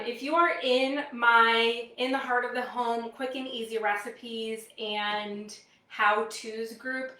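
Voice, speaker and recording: A woman's voice: a little high, a bit bright. A woman in her thirties with a Wisconsin accent, chatting casually and upbeat as she hosts a live product demo for viewers. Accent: American Midwestern, Wisconsin. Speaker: live demo host, direct-sales kitchenware consultant. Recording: roomy echo, distant microphone.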